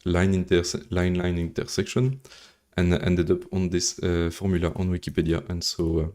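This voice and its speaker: Man from Belgium, native French speaker, deep voice, mellow tone, slow formula reading, joking live coder. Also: steady tone. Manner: thinks aloud, reads formulas slowly, joking asides. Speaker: coding livestreamer. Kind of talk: casual live coding stream.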